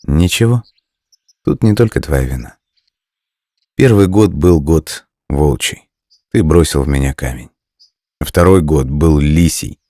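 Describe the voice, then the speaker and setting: A man voicing a wise, forgiving female snake in a folk tale, with measured, counselling delivery. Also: extremely low pitch, mellow, no echo, clean studio sound. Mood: calm, wise.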